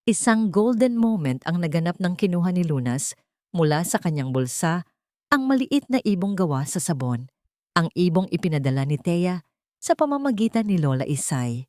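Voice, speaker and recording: A woman narrating a melodramatic audio story in a scripted storytelling style. Her delivery is expressive, and her pitch swings up and down for emphasis. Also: normal speed, no room sound, clean studio sound.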